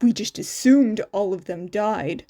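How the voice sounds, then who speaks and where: Woman as a slow, serious male investigator. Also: mid-range voice, dark tone, close to an everyday voice. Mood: skeptical. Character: serious adult male investigator, questioning and skeptical. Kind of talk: acted dialogue in fan fiction audio.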